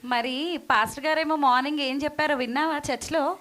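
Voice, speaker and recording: Woman as a high-voiced, sensible young puppet friend. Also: fairly quick, fairly bright tone. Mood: questioning. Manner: childlike, patiently teaching, questioning. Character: Brownie, sensible, gentle, questioning child puppet friend. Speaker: puppeteer in children's church show. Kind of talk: children's puppet show dialogue.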